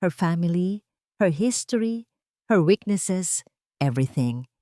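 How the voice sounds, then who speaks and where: A woman's voice: on the low side, animated, takes it slowly, mellow, dry recording, studio quality. Woman voicing a scheming, coldly sweet rich young woman. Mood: cold, commanding. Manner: sweet, coaxing, menacing.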